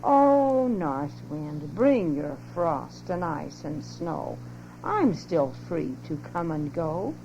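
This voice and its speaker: A woman's voice: mid-range voice, huge pitch swings. Woman's singsong plucky little storybook duck. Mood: cheerful courage. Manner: slow, singsong taunting verses. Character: plucky, unafraid, cheerful little male duck. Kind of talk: storybook read-aloud. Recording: lo-fi muffled home cassette, loud hum.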